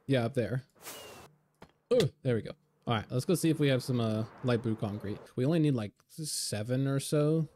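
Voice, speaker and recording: A man talking casually over gameplay, hoarse and a little raspy from being sick, rambling with lots of fillers. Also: medium pitch. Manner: relaxed, rambling, many fillers. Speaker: game video creator.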